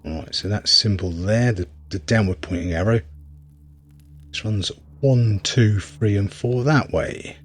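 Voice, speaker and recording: Man, deep rough-edged voice, casual gameplay commentary, hesitant thinking-aloud delivery. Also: a little slow. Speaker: video game reviewer.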